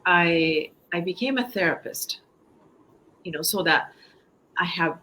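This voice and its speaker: Guest: woman in her fifties with a Japanese accent, low and bright, talks in halting bursts. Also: a little hiss or hum, rough recording. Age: 50s. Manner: halting, frequent fillers and restarts. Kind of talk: casual interview chat.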